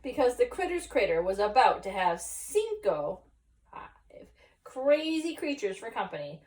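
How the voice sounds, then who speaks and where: Animated high-voiced woman reading a picture book. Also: recorded from a distance. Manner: animated, pauses to explain and question. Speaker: teacher reading at school story time. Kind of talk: expressive picture-book read-aloud with asides to children. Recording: voice some way from the mic.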